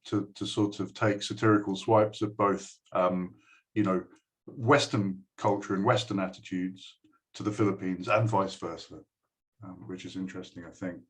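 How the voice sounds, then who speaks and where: Hesitant man, low voice. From United Kingdom. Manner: hesitant, many ums and restarts. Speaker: guest speaker addressing a school. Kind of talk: recorded video address.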